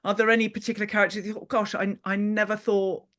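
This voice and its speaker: A woman's voice: mid-range voice, level tone, quick pace, a bit bright. A woman hosting a panel discussion, speaking in an encouraging, appreciative way to her guests. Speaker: host and interviewer.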